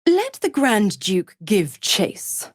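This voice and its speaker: A seductive she-devil for a video game, scheming and smug. She takes her time over each phrase, swooping expressively through her pitch as she taunts and condescends with silky, mocking sweetness. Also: big pitch swings, very slow, breathy edge, full character voice. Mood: playful, sly. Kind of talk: scripted video game character acting.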